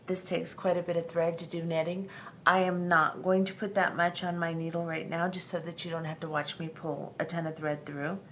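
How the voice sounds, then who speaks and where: A middle-aged woman hosting a step-by-step beading tutorial, talking calmly and methodically with frequent ums. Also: lowish voice, slight background noise. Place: tabletop craft workspace, indoors.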